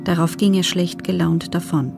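Creaky-voiced, restrained female prisoner. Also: on the low side, steady tone, normal speed, music in the background. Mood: restrained, sober. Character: female prisoner recounting her ordeal, guarded, restrained. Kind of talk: documentary radio play, reading written testimony.

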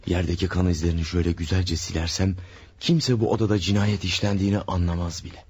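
A man's voice: low voice, little pitch movement, fast talker, darkish tone, husky. A man playing a cold-blooded killer in a radio drama, muttering and gloating to himself as he hides his crime. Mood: scheming. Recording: old radio play with faint hiss.

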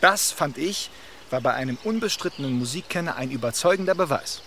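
A witty young gentleman. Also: on the high side, brisk, slight background noise. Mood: sly. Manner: lively, witty, gossipy. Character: charming, talkative young gentleman. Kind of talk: radio play acting.

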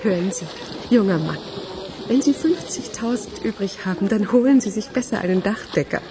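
Actress playing sarcastic sceptical woman, animated intonation, radio thriller, noisy ambience. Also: mid-range voice, normal speed, lightly acted. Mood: scornful, amused. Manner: dry, sarcastic. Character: sceptical, caustic woman brushing off a pest. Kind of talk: German radio crime drama acting.

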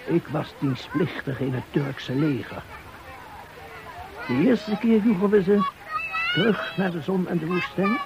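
A man in a radio play slowly telling his memories of earlier days. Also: high voice, lively intonation, lo-fi sound, background noise. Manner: slow, telling old memories. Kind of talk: radio drama recollection.

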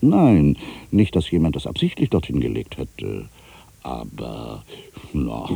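An actor playing an irritable, brooding ageing writer, delivering a theatrical literary monologue for a radio play, moving between exclamations and hushed asides. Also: male voice, very deep voice, wildly swooping pitch, slow, creaky, husky, dry recording, full character voice. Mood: argumentative. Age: older. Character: ageing writer, musing, irritable, suspicious, quietly superstitious.

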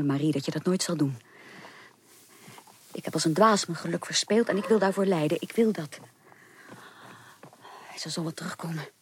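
A woman playing a proud, remorseful young upper-class woman in a Dutch radio drama, speaking emphatically and haltingly. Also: sits low. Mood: resolute regret, then subdued. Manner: emphatic, halting with repeated words. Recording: clearly noisy 1980s radio recording.

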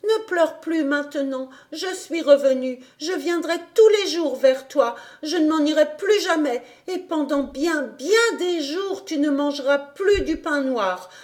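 A woman's voice: sits high, a little slow, hardly any pauses, pitched above the performer's own voice. A woman voicing an eager, loving little girl in a storybook reading, with breathless, eager exclamations.

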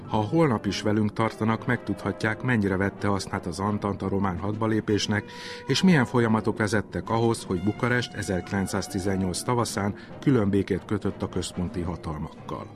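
A man with a fairly low voice presents and interviews on a formal radio history programme. Music is clearly heard in the recording. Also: steady tone.

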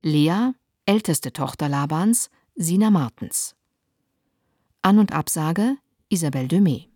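A woman announcer reading radio play credits in a crisp, formal way. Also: sits low, expressive, slow, darkish tone, dry recording.

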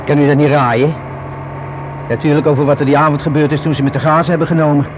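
Male actor, higher-pitched young man, rueful confider, hesitant colloquial delivery, old radio play, clear background noise. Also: flat delivery, fast talker, highs cut off, close to an everyday voice. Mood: wary. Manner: hesitant, colloquial, self-reproaching. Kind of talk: radio drama acting.

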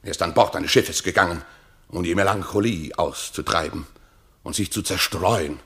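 Gravelly, swaggering deep-voiced rogue courtier. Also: male voice, normal speed, fairly bright tone, exaggerated voice. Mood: self-important. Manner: pompous, hectoring, interrogating. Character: swaggering rogue posing as a haughty royal courtier. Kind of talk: theatrical German radio play acting.

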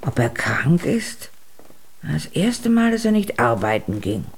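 A woman's voice: sits low, very animated, takes it slowly, gravelly, husky, exaggerated voice. An older actress playing a loving, worried, pleading grandmother in a German children's radio play. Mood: fretful. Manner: fretful, pleading.

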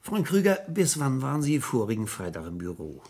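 An actor playing a politely insistent police inspector, asking hesitant, probing questions in a 1970s German radio crime drama. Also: male voice, medium pitch, huge pitch swings, a little fast, darkish tone, slightly rough, exaggerated voice.

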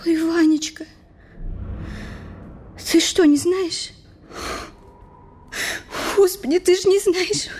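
A woman's voice: extremely high pitch, steady tone, slow pace, long pauses, darkish tone. An actress playing a distraught, motherly Russian woman in a radio drama, pleading and coaxing with repeated phrases. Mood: grieving.

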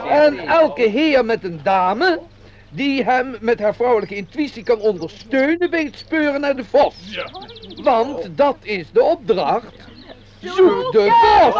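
A man's voice: very high-pitched, full character voice. An actor playing a fussy, obsequious male company administrator who speaks formally and pompously in a radio play. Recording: dull lo-fi radio play recording.

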